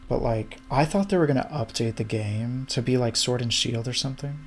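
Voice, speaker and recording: A man's voice: medium pitch, brisk, a little hiss or hum. A man of about thirty chatting casually, hesitant, often trailing off with fillers. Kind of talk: casual chat.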